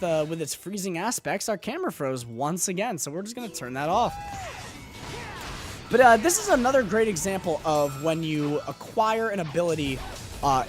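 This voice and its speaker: A man doing live gameplay commentary while he is losing his voice, talking upbeat and rambling with lots of fillers and restarts. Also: on the high side. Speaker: game streamer. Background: loud game music.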